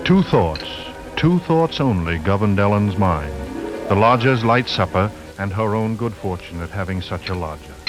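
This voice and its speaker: A man giving grave, deliberate, dramatic narration for an old radio suspense story. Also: fairly low pitch, expressive, over music, poor audio.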